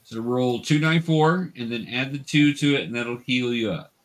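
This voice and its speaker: Male game master, mid-pitched voice, instructional and conversational, patient step-by-step delivery. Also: moderate pace. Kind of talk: casual tabletop game instruction.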